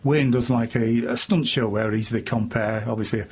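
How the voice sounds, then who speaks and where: A man hosting a radio interview, teasing his guest with quick interjections. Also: mid-range voice, fairly even intonation, darkish tone, breathy edge.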